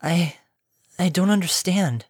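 A man's voice: high-pitched, slow pace, slightly rough, no echo, studio quality, voice pushed up, full character voice. A man voicing a frightened, tearful young female pony in a storybook reading, with a trembling, bewildered delivery. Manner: trembling, bewildered, breaking into sobs.

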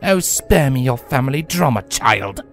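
A man voicing a regal, scheming female pony tyrant who talks slowly and mockingly in a purring voice. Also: medium pitch, animated, gravelly, soft background music, voice pushed up. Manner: slow, purring, contemptuous.

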